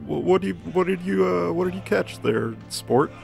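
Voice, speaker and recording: A man's voice: sits high, lively intonation, moderate pace, gravelly, a little mellow, soft background music, voice pushed up, exaggerated voice. A man voicing a folksy, avuncular Grim Reaper who stumbles over his words in a comic story. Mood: falsely friendly.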